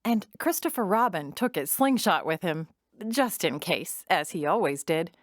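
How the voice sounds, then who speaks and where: Animated woman narrating a children's story. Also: fairly low pitch, no room sound, clean studio sound. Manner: expressive, deliberate storytelling.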